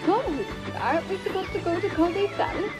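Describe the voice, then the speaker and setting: A woman's voice: very high voice, moderate pace. A woman voicing a friendly young female diesel engine who speaks politely and with curiosity, with music underneath.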